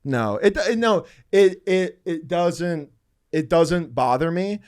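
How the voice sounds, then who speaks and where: A man's voice: sits high, lively intonation, slow, a little mellow, clear, resonant tone, no room sound, clean studio sound. A man with an American accent and a somewhat effeminate way of talking, chatting casually as a comedian guest on a podcast. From Oklahoma City, United States. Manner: self-described effeminate way of talking.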